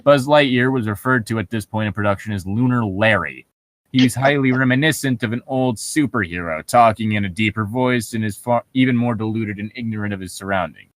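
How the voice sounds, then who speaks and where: Halting man reading stories aloud, dry sound. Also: lowish voice, no echo. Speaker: podcast co-host reading internet horror stories. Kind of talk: reading aloud with casual commentary.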